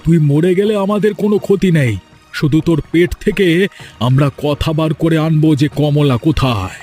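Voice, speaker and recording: A loyal servant and enforcer played by a man, pitched fairly high and hectoring. He is vehement and bullying in village cartoon style, with faint music behind him. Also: moderate pace, warm tone, lightly acted. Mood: angry, threatening. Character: loyal, bullying male servant and henchman of a landlord. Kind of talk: cartoon voice acting.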